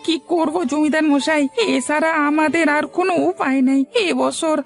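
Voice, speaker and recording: A woman's voice: sits high, fairly even intonation, moderate pace. A woman voicing a poor, timid male farmer who stammers and pleads with the landlord. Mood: pleading.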